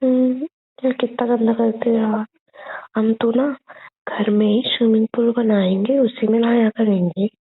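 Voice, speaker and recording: A young woman chatting casually and intimately with her boyfriend on a phone call, in a childlike, cooing way with drawn-out phrases. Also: a little high, steady tone, gravelly, breathy edge. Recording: muffled, band-limited phone call recording.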